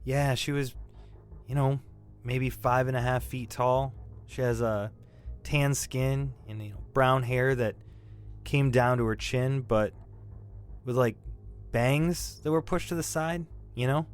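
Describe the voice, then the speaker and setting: An actor playing a shaken young male robbery suspect in an audio drama, defensive and grieving, halting and stammering as he talks. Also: mid-range voice, steady tone, smooth, soft background music, natural voice. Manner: halting, stammering, repeating himself. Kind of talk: naturalistic audio drama acting.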